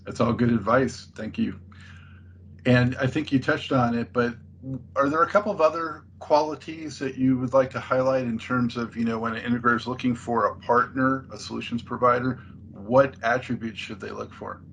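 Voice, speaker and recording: A man's voice: medium pitch, normal speed, vocal fry, fairly bright tone, lo-fi sound, slight background noise. A man working as an interviewer, putting questions to a guest in a professional conversation.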